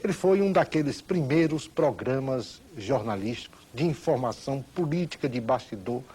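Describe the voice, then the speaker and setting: Husky-voiced Brazilian man, formal. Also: medium pitch, animated, normal speed, warm tone. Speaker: television programme creator giving testimony. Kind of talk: formal interview recollection.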